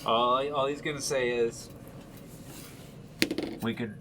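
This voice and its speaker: A man's voice: mid-range voice, steady tone, slow pace, background noise. A man playing a tabletop game, chatting casually and jokingly with slangy, profane wording.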